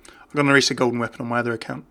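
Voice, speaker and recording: A man's voice: medium pitch, level tone, rapid-fire, vocal fry, pushed and bright. A man giving casual, jokey commentary as a gaming video host. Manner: chatty, jokey.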